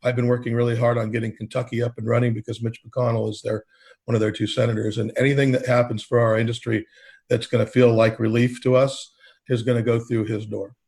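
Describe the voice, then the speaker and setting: A man's voice: mid-range voice, little pitch movement, fast talker, a little mellow, slightly rough. A man, a state coalition leader from Oregon, talking steadily with many fillers on a panel call. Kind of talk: panel discussion on a video call. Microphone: video call.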